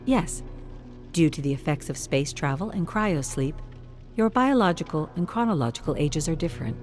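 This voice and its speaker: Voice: medium pitch, expressive, normal speed, faint music behind, natural voice. Someone voicing a detached, formal robot servant. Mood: impassive, formal. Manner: formulaic, polite, detached. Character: Hux robot unit, calm, formal, impassive servant machine.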